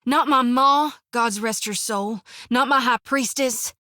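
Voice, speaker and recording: A bashful young priestess. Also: female voice, a little high, takes it slowly, bright, forceful tone, no echo, clean studio sound. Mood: hurt. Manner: earnest, bashful, self-deprecating. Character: earnest young woman priestess companion, shy and lovestruck. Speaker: game companion. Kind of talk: video game voice acting. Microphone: dry close studio mic.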